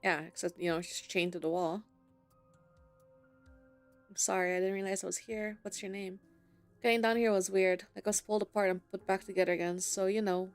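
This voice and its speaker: A woman's voice: medium pitch, fairly even intonation, fairly quick, pauses often. A woman gamer giving casual let's play commentary and reading dialogue choices aloud. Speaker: gamer playing through a visual novel. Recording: faint game music behind the voice.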